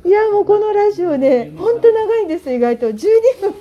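A woman's voice: very high voice, big pitch swings, a little fast, mellow, muffled recording. A woman hosting a casual show, chatting effusively and politely in a rambling, unscripted way. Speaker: host of an audio app show; visual artist and designer. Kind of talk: casual unscripted chat with guests and announcements. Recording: crowd chatter behind, live on the spot.

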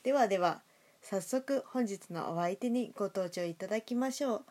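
A woman speaks at a middle pitch as the host of an audio drama show. She chats casually with her guest in a talkative, conversational way. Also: moderate pace, darkish tone. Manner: chatty, frequent backchannels.

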